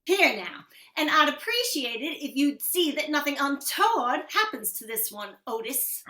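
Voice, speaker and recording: An indignant, assertive small-town woman played by a woman in a western radio drama. The voice is high, bright and very lively in pitch, with audible room echo. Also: normal speed, exaggerated voice. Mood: stern, pointed. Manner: huffy, assertive. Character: indignant, bossy small-town woman competing with her preserves. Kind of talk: old-time western radio play acting.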